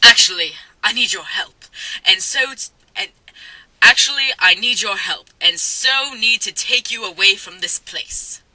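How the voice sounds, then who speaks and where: A woman voicing a pompous, haughty teenage boy wizard with theatrical, drawn-out delivery in a comic story reading. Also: mid-range voice, expressive, bright, forceful tone, no echo, full character voice, poor audio.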